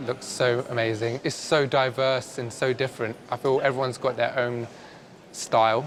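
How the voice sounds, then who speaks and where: A man's voice: medium pitch, little pitch movement, moderate pace. A young man from England, an amateur artist, who talks earnestly and a little nervously about his work.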